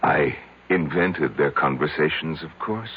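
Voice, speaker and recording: Deep-voiced, brooding, broken man. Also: animated. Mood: wistful, obsessive. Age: middle-aged. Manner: halting, brooding, dramatic delivery. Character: broken, obsessive 50-year-old man confessing to his doctor. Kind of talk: 1970s radio drama acting. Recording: dull, very noisy old radio broadcast.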